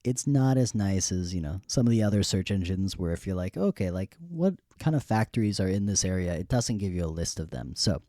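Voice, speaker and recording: A young man hosting a podcast, telling a story in a relaxed, rambling way with frequent laughs. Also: low-pitched, a little fast, hardly any pauses, no room sound, studio quality. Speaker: podcast host. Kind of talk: casual storytelling.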